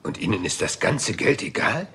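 An actor dubbing a rumpled, polite middle-aged police inspector who keeps asking questions. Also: male voice, low-pitched, big pitch swings, moderate pace, a bit bright. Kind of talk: German-dubbed crime drama.

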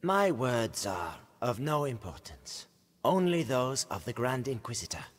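An actor playing a grim, deferential male alchemist who speaks quietly in a game cutscene. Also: very animated, unhurried. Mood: subdued. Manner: low, subdued, deferential.

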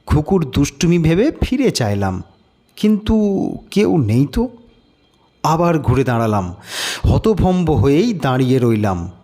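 A man narrating a scripted horror story in a dramatic, measured, suspenseful way. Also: fairly high pitch, very animated, warm tone.

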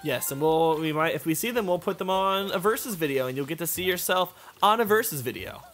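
A man's voice: on the high side, lively intonation, brisk, fairly bright tone, soft background music. A young man co-hosting a gaming show, chatting casually and jokily while he plays. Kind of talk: casual joking chat over video game play.

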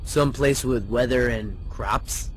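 A man's voice: medium pitch, measured pace, faint music behind, close to an everyday voice. A man voicing a cool, tough teenage guy in a video game, talking in a wry, sarcastic, casual way. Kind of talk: video game cutscene acting.

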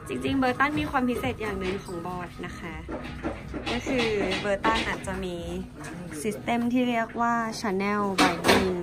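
A woman's voice: medium pitch, measured pace. A young adult woman, chatting casually to camera as a vlog host. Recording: music mixed loudly over voice. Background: loud music.

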